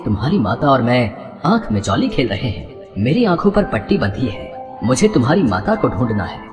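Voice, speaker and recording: A man's voice: medium pitch, very animated, moderate pace, soft background music, natural voice. A man voicing a good-natured, guileless god and fond husband in a devotional story, with formal, playfully bemused delivery. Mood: coaxing. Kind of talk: animated devotional story dialogue.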